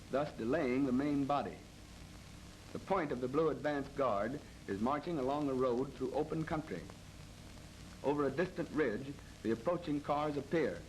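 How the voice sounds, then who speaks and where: 1930s American training film narrator, noisy old soundtrack. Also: male voice, mid-range voice. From United States. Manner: formal, measured, matter-of-fact.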